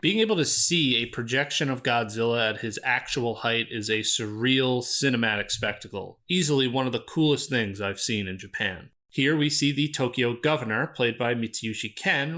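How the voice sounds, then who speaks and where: Man, scripted documentary narration, measured, explanatory, medium pitch. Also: bright, forceful tone. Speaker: video essayist.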